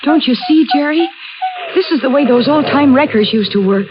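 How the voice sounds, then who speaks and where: An anxious young sister in a 1940s radio thriller. Her voice sits high, urgent and full of suspicion. The recording is dull, with clear hiss. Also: animated, moderate pace. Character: jittery, suspicious young sister stranded at night.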